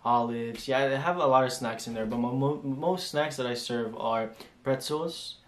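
A young man in his twenties talks casually and rambles, with fillers and restarts as he answers viewer questions. His voice sits at an ordinary mid pitch, and the small room around him rings with noticeable echo. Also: moderate pace. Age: 20s. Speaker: flight attendant vlogger. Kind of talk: casual Q&A chat. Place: small home room indoors.

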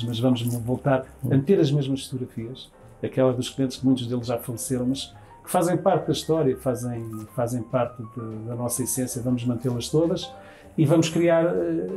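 A man's voice: medium pitch, dark tone, low-quality recording. A man in his fifties with a European Portuguese accent, a restaurant manager answering interview questions at length, restarting phrases as he goes. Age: 50s. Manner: talks with his hands, many restarts. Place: restaurant dining room, indoors.

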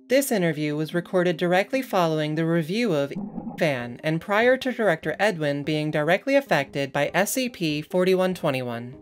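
A woman hosting and narrating, moving between scripted document reading and casual sign-off chat. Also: lowish voice. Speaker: narrator and channel host.

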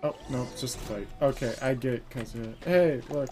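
A man's voice: medium pitch, moderate pace. A man streaming a game, giving casual live commentary. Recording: loud game music behind. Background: game audio and music.

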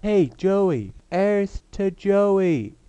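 A man voicing a naive, chirpy small teenage boy in a cartoon parody, with an odd put-on high voice. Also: expressive, very slow, dark tone, clean tone, dry recording, exaggerated voice. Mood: chirpy, eager. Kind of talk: anime parody dub.

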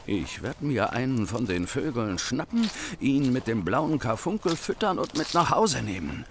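An actor playing a nervous, desperate young thief in a radio play, anxious, stammering and breathlessly pleading. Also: male voice, medium pitch, big pitch swings, unhurried, a little hiss or hum. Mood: panicked. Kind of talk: German radio play acting.

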